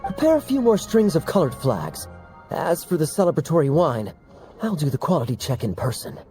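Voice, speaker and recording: A man playing a suave, sly cavalry captain in a video game, with smooth, leisurely teasing delivery. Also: fairly high pitch, very animated, a little fast. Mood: playful authority. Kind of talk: animated game dialogue acting. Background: soft background music.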